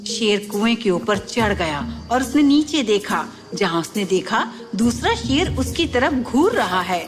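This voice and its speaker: A woman's voice: fairly high pitch, sing-song, a little slow. A woman narrating a scripted children's story as a storyteller. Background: music.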